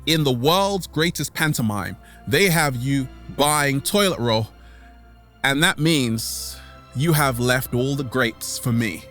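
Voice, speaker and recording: A man's voice: mid-range voice, lively intonation, fairly bright tone, soft background music. A man speaking as a vlog host in a playful, theatrical motivational monologue, drawing words out for emphasis. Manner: theatrical, playful, repeating catchphrases, with drawn-out emphasis. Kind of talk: motivational monologue to online followers.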